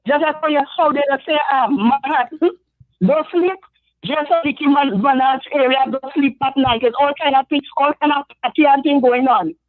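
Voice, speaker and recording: A woman from Trinidad with a Trinidadian accent, calling in to a talk show and speaking hesitantly with many pauses. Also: high-pitched, fairly even intonation, dry recording. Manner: hesitant, lots of ums. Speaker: caller. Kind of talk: phone call-in. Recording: phone line.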